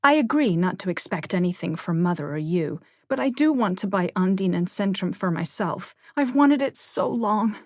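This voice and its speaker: A woman's voice: medium pitch, lively intonation, moderate pace, few pauses, no room sound, clean studio sound. A woman voicing a tomboyish, bookish teenage girl with a blunt, emphatic, grumbling manner in an audiobook. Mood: wistful.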